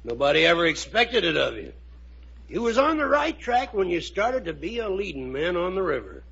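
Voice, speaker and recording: An actor playing a henpecked, good-natured old riverboat captain in a 1950s radio drama, speaking in a husky, rustic, ungrammatical way. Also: male voice, high-pitched, sing-song, normal speed, fairly bright tone, exaggerated voice. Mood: kindly, advising. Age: older. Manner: folksy, rustic, ungrammatical speech. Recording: muffled vintage 1950 radio recording.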